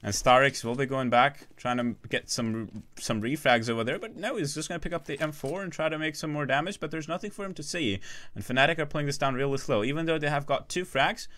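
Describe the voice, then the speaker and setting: Bright-voiced solo esports caster, chatty. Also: male voice, fairly high pitch. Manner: rapid, chatty, self-interrupting, jokes with chat. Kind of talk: solo live game commentary.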